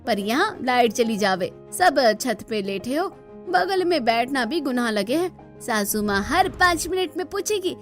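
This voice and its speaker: A woman's voice: fairly high pitch, expressive, unhurried. A woman voicing an overworked young village daughter-in-law in a cartoon, complaining in rustic, gossipy phrases. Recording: music bed under the voice.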